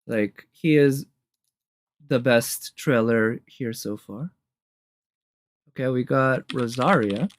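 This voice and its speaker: A man's voice: mid-range voice, takes it slowly, pauses often, no room sound. A man giving casual, off-the-cuff reaction commentary as a video commentator, rambling a little as he thinks aloud. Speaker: video commentator ranking game trailers. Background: music from trailers playing.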